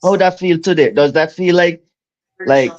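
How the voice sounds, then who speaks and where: A man's voice: a little high, moderate pace, highs cut off. A man hosting a casual chat, teasing his guests with slangy jokes and laughing. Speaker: host.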